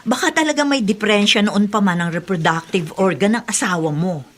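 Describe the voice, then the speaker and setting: A woman's voice: mid-range voice, animated, brisk, close to an everyday voice. A Filipino actress playing a frank, chatty young mother and friend in a radio drama. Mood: frank, teasing. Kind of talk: Tagalog radio drama acting.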